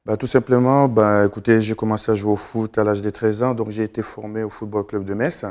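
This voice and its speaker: A middle-aged man from Congo, a guest in a TV studio, answering slowly with many fillers and restarts. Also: on the low side, level tone, a little mellow. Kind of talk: live TV interview.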